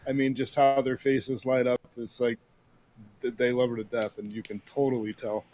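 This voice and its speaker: A man in his fifties co-hosts a relaxed chat show with a mid-pitched voice. His delivery is flat and even, easygoing and matter-of-fact. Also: normal speed, a little hiss or hum. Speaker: podcast co-host. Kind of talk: casual chat.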